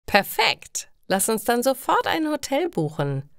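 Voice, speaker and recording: A woman voicing a cheerful female friend in a learner's story, upbeat and clearly enunciated. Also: a little high, huge pitch swings, measured pace, no echo, clean studio sound, voice pushed up, full character voice. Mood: enthusiastic.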